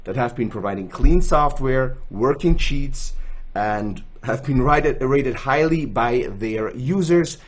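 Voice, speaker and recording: A young man with a Swiss accent talks through a screen-recorded tutorial in a chatty, animated way. His pitch jumps around a lot as he gestures and jokes at himself. He sits at a desk mic in a small room. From Switzerland. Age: young adult. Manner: chatty, self-joking, gestures while explaining. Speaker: gaming tools channel host. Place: indoors, small room, gaming chair.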